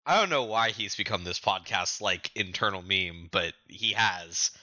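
Deep-voiced man, forceful and very bright. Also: animated, normal speed, no echo, highs cut off. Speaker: podcast co-host. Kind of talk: casual recap of manga chapters.

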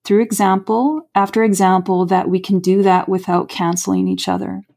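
A woman's voice: mid-range voice, steady tone, normal speed, gravelly, a little mellow. Earnest woman playwright on a podcast. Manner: earnest, reflective, repeats words when emphatic. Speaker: playwright guest. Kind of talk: podcast interview.

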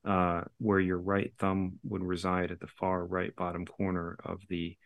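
A man's voice: low-pitched, monotone, unhurried, vocal fry, no room sound, phone-quality sound. A man, a company founder, patiently explaining how a braille device works, step by step, on a call-in show.

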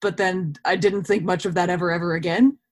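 A woman in her twenties chatting casually as an interview guest, talking in a reflective, think-out-loud way. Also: fairly low pitch, fairly even intonation, fairly quick. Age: 20s.

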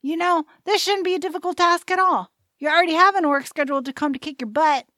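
A woman's voice: very high voice, quick pace, pushed and bright, no echo, clean studio sound. A woman voicing a tiny, cheeky, upbeat adventuring sidekick in an audio drama, perky and teasing. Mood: breezy, encouraging.

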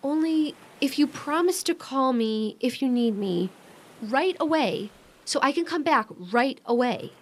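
A woman's voice: high voice, expressive, unhurried. A woman acting in a modern audio drama as a devoted, protective young sister who sounds worried and hesitant. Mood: anxious, loving.

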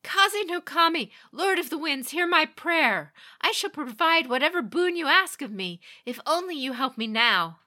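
A stubborn young priestess of the spirits, pleading and insistent, as a woman acts her out in a storybook reading. Her voice lifts high and carries strong and forceful. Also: expressive, moderate pace, hardly any pauses. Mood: prayerful. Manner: earnest, pleading, intense.

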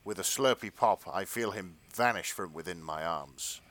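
A man's voice: deep voice, moderate pace, a bit bright, dry recording. A British man hosting a podcast, reading fan fiction aloud in a mock-earnest comic tone. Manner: mock-earnest, breaks into laughter. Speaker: podcast host and narrator.

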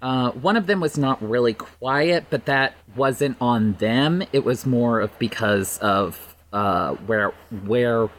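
A podcast guest chatting casually, enthusiastic and halting, often repeating words for emphasis. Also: mid-range voice.

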